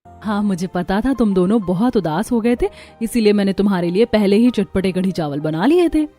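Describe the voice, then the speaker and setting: A woman playing a gentle, caring young mother who talks soothingly in a cartoon story. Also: mid-range voice, expressive, a little fast, lightly acted. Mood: fond. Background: music.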